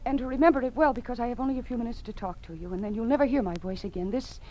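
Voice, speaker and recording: Actress for grieving but principled woman teacher, old radio play; highish, pleading, heartfelt; dull vintage recording. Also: dark tone, a little hiss or hum, close to an everyday voice. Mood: urgent, impassioned. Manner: earnest, dramatic, deliberate pleading. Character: earnest, idealistic, grieving woman schoolteacher, quietly brave. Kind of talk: old-time radio drama acting.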